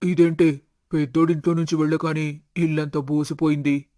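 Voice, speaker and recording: A man voicing a calm, stoic older husband and father in an animated family story, unruffled and matter-of-fact. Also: on the high side, a little fast, lightly acted. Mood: resigned.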